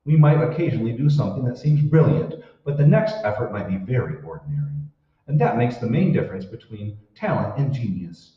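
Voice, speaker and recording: A man playing an earnest 1940s radio announcer, delivering formal, measured sponsor messages. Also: mid-range voice, big pitch swings, close to an everyday voice. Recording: echoey hall, distant mic, muffled.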